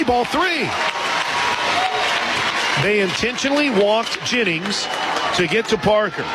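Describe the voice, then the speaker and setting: A man doing live radio play-by-play commentary of a softball game as the announcer. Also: high voice, very noisy. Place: outdoor softball stadium. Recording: crowd roar and loud music behind. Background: loud crowd and music.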